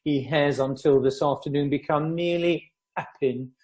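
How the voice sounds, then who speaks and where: A man's voice: fairly high pitch, steady tone, normal speed, darkish tone, close to an everyday voice. Man acting a mocking young society wit. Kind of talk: amateur radio play acting.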